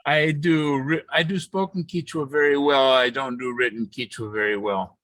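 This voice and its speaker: A man interpreting a discussion into English, steady, with occasional restarts. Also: mid-range voice, unhurried, no echo.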